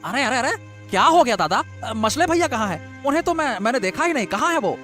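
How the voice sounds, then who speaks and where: Actor as a wily villager with swooping pitch. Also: very animated, a little fast, sharp tone, soft background music. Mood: agitated. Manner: obsequious, theatrical, repetitive. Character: wily, flattering male villager feigning humble concern. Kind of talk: melodramatic storybook audio drama.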